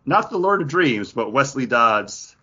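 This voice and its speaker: A man's voice: medium pitch, sing-song, measured pace, pushed and bright, slightly rough. A man co-hosting a podcast in a casual, conversational discussion. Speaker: comics podcast co-host. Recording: dull lo-fi audio.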